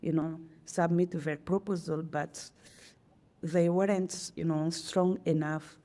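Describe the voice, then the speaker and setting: Woman, teacher researcher, low mellow voice, quick and earnest. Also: fairly even intonation. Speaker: teacher researcher, guest editor. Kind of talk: academic interview.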